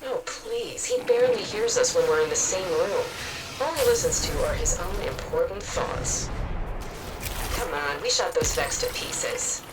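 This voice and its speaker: An actress playing a wary scientist bantering with colleagues in a video game, casual and wry. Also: female voice, medium pitch, big pitch swings, measured pace, noisy background. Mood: wry, dismissive. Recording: distant voice under loud music. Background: loud music.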